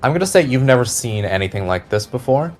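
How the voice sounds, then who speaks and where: A man narrating a fantasy tabletop game as game master, describing scenes deliberately and with suspense. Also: mid-range voice, lively intonation, a little mellow. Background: background music.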